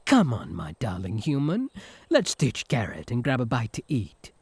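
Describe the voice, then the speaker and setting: Smug incubus suitor, acted by a British man, breathy-rough edge. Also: medium pitch, huge pitch swings, moderate pace, darkish tone, dry recording, full character voice. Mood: smug, taunting.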